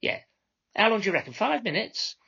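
Man putting on a high-pitched brash cab controller woman. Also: sing-song, a little fast, vocal fry, sharp tone, slightly rough, lo-fi sound, exaggerated voice. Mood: bossy, exasperated. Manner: insincerely courteous, bossy, sweary. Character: brash, mock-courteous female London minicab controller. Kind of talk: storybook character dialogue.

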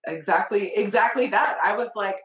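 A woman's voice: medium pitch, normal speed, fairly bright tone, roomy sound. A woman hosting a podcast, chatting casually and telling a personal story in an open, reflective way with easy laughs. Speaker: podcast host. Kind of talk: casual interview chat.

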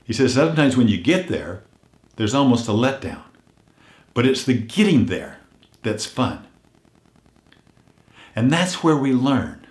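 An older man hosting a weekly motivational message, earnest and unhurried, his voice a little hoarse as if he is losing it, often dropping to a hush on heartfelt points. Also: medium pitch, very animated, echoey room. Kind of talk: reflective motivational talk.

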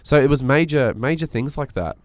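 A man's voice: mid-range voice, lively intonation, a little fast, dry recording, studio quality. A man with a nasal voice co-hosting a podcast, talking in an earnest, searching way with frequent restarts. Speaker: podcast co-host. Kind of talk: casual reflective chat.

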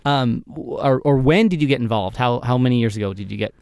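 A man hosts a casual podcast, firing off questions with a playful, joking manner. His voice sits in a middle range and sounds clear and resonant. The mic is close and dry. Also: mid-range voice, fast talker, darkish tone, no room sound. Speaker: podcast host.

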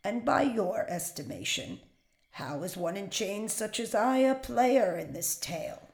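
An actress playing a defiant, wry imprisoned Puritan wife in a period audio drama, creaky and guarded. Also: female voice, mid-range voice, lively intonation, a little slow, darkish tone. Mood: guarded, sardonic. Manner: dry, guarded, formal. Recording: echoing room sound.

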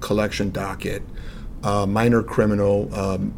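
Low-voiced American man, noisy rumbly recording. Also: little pitch movement, a little slow, creaky, a little mellow. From Saint Louis, United States. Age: middle-aged or older. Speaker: lawyer, interview guest. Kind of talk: explanatory interview answers.

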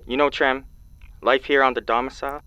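An actor playing an aggrieved, free-thinking amateur poet calling in to a show in an audio drama, with a theatrical, slangy delivery. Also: male voice, mid-range voice, little pitch movement, a little fast, bright, forceful tone, clear, resonant tone, no room sound, dull audio, close to an everyday voice. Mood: indignant.

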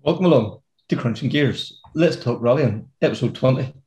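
A man's voice: medium pitch, expressive, moderate pace, background noise. A man hosting a podcast in a chatty, upbeat way with plenty of fillers. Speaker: podcast host. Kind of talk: casual podcast chat.

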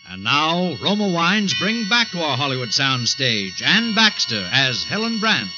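Male vintage radio announcer, American accent, highly animated intonation, dramatic program introduction, muffled old recording. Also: unhurried. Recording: dull old radio recording, loud music.

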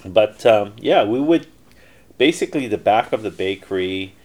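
A man's voice: fairly low pitch, animated, normal speed, darkish tone. A middle-aged man telling his life story in a casual interview, rambling with many fillers and restarts. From Canada. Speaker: interview guest, entrepreneur.

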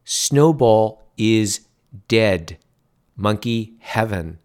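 A man voicing a bored young ticket girl with an American accent, speaking slowly and deadpan. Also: fairly low pitch. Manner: slow, drawling, deadpan.

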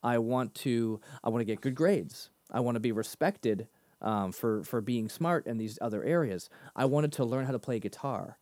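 A man co-hosting a casual faith discussion, talking animatedly and breaking into higher voices when he quotes himself. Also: normal speed, no room sound. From Boston area. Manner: animated, laughs often, jumps pitch in quoted asides. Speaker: podcast co-host.

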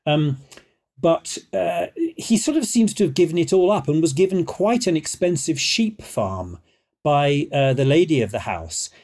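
A man's voice: a little high, animated, a little slow, darkish tone. A man, a guest musician, explaining early music in a chatty, scholarly way, often hesitating and wandering off on tangents.

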